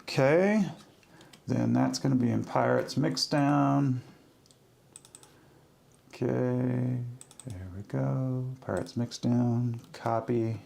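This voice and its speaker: A man with a heavily creaky, fry-laden voice talks at an unhurried pace. He narrates his tasks casually in short, halting bursts, as if walking viewers through each step. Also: medium pitch, darkish tone, slight background noise. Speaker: livestreaming audio producer. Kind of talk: casual work-along narration.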